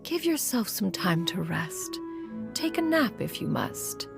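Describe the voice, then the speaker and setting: A woman's voice: medium pitch, big pitch swings, slow pace, creaky, over music, exaggerated voice. A woman playing a lofty, austere but caring immortal master in a video game, with formal, archaic diction. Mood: gently consoling. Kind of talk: dramatic video game voice acting.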